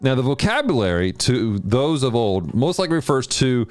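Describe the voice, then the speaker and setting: A man hosting a livestream of video games and Bible study, chatting casually with viewers and teaching in an animated way. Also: normal speed, faint music behind. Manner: animated, jumps in pitch when greeting chat. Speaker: host of a gaming and Bible study stream.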